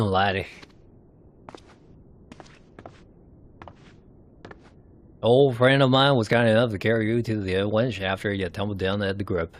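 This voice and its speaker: A man voicing a friendly, fatherly seafaring fellow from a fantasy game, in halting folksy dialect. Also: on the low side, lightly acted. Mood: friendly, welcoming.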